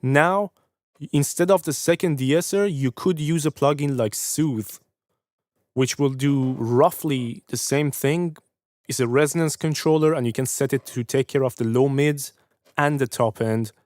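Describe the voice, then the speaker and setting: A man's voice: mid-range voice, a little mellow, dry recording. A young man hosting a music review livestream, giving casual mixing feedback, swearing freely and stuttering now and then. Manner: laid-back, swears casually; stutters at times.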